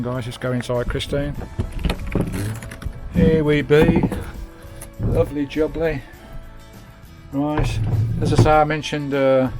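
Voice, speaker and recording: British man chatting, music behind. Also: mid-range voice. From United Kingdom. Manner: chatty, matey, peppered with British slang. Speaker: vanlife vlogger. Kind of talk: casual hands-on video tour and fitting commentary. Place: inside a small campervan.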